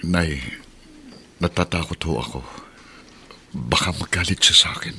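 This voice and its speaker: A man's voice: sits low, normal speed, sharp tone, slight background noise. A man playing an earnest, remorseful middle-aged father and devoted suitor in a heartfelt Tagalog radio drama. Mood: remorseful, afraid.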